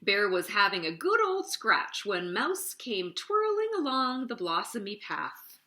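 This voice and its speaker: A woman hosting children's storytime, narrating a picture book in an expressive, deliberate, sing-song way. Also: medium pitch.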